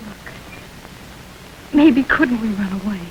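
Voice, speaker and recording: A woman's voice: mid-range voice, natural voice. An actress playing a timid, frightened young woman in a 1930s crime film, on hissy old audio. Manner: slow, frightened, hesitant. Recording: loud hiss and static on old film audio.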